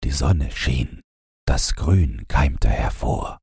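Deep, husky, slow male storyteller. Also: lively intonation, darkish tone, no room sound, studio quality. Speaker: audiobook narrator. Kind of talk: bedtime fairy tale narration.

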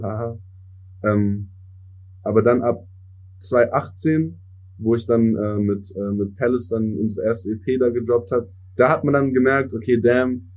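A man's voice: medium pitch, a little mellow. A young man in his twenties whose first language is English, chatting casually as a podcast guest, dropping slang and English words between many fillers. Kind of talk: casual interview chat.

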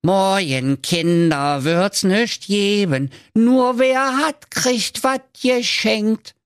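A performer voicing a little boy with a Berlin accent, singing comic Christmas carols slowly and fervently. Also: mid-range voice, clear, resonant tone, no room sound, clean studio sound, pitched above the performer's own voice. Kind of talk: slow parody carol singing.

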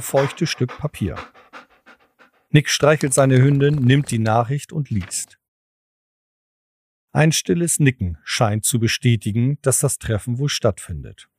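A man narrating an audio drama in scripted, present-tense storytelling. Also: medium pitch.

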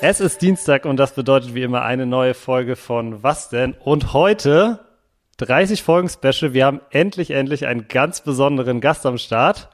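A man's voice: mid-range voice, normal speed, soft background music. A young man co-hosting a podcast, upbeat and asking the guest questions. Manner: upbeat, asks questions, moderates. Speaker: podcast co-host. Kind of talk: casual podcast chat.

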